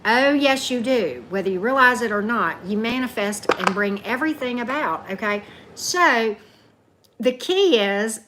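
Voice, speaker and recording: A woman's voice: animated, unhurried. A woman chats through a livestream. She talks casually, answers viewers by name and often ends a thought with "right?". A faint hiss of background noise sits under her voice. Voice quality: deep voice. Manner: chatty, keeps asking "right?", greets commenters by name. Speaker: tarot reader hosting a livestream.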